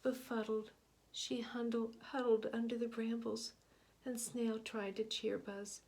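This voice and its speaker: Slow expressive woman reading a picture book. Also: on the high side, a little hiss or hum. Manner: expressive, slow, hushed asides. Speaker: storybook reader. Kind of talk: picture book read-aloud.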